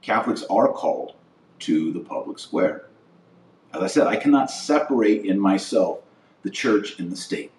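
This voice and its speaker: A man's voice: low voice, expressive, moderate pace, a little mellow, a little hiss or hum. An American man hosting a podcast, delivering an earnest political and religious monologue with emphatic repetition and building lists. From United States. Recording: roomy echo, distant from the mic.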